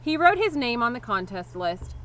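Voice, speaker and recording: A woman teacher reading a children's story aloud expressively, leaning deliberately on key words. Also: a little high, big pitch swings, sharp tone. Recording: clear background noise.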